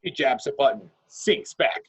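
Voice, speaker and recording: A man with a husky voice narrating a script in a clipped, descriptive reading style. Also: medium pitch, lively intonation, moderate pace, poor audio.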